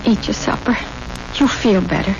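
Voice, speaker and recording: A woman playing a caring, practical wife and mother, expressive and solicitous, in 1970s radio drama style. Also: mid-range voice, moderate pace, vocal fry, lightly acted. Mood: concerned. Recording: muffled, hissy vintage radio broadcast.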